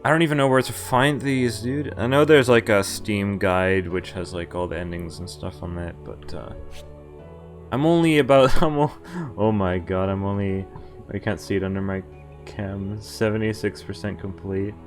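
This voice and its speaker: A man streaming a game, reading its text aloud and commenting informally, in a halting way as he thinks out loud. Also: lowish voice, fairly even intonation. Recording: music under the voice. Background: game music.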